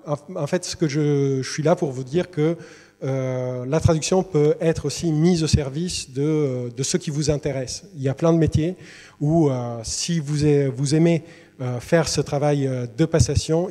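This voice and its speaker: A man's voice: on the high side, measured pace, warm tone. Man, hesitant, in a reverberant hall. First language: Italian. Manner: hesitant, many fillers and restarts. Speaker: literary translator. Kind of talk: presentation to students. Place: university lecture hall. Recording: long reverb in a large hall.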